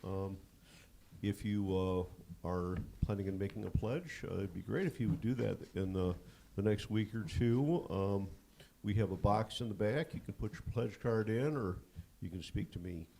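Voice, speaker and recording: Gravelly low man with halting announcement. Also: dark tone, a little hiss or hum. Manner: halting, many fillers. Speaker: pledge campaign chair. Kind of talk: church announcement.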